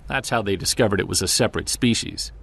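Man acting earnest monster investigator, low-pitched, fry-edged voice, wry, driven, naturalistic audio drama. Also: quick pace, fairly bright tone. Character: driven, self-deprecating male amateur Bigfoot investigator.

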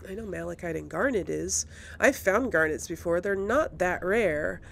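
A woman's voice: on the low side, lively intonation, normal speed, vocal fry, a bit bright. A woman doing casual let's play commentary as a gaming host, musing and thinking aloud.

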